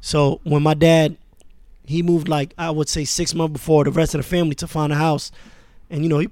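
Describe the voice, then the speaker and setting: A man born in France and raised in Queens, speaking as a guest in a relaxed, casual interview. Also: a little high, brisk, dry recording, studio quality. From born in France, raised in Queens, New York. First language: Arabic. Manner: relaxed, laid-back, with many fillers. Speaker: guest, music manager.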